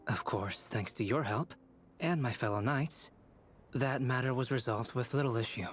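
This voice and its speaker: A man playing a calm, refined young alchemist in a video game, speaking formally and reflectively. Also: mid-range voice, normal speed, slight background noise, natural voice. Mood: composed, reflective. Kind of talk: video game character dialogue. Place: studio. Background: game music.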